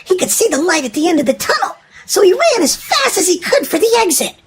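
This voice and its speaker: Very high, wildly animated woman storyteller. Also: brisk, background noise. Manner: dramatic, emphatic storytelling for young children. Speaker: children's story narrator. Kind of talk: expressive scripted storybook narration.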